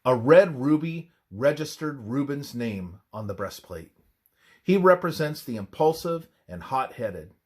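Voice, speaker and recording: A man reads a scripted religious text aloud as devotional narration, in a measured, emphatic scripture-reading manner. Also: mid-range voice, expressive.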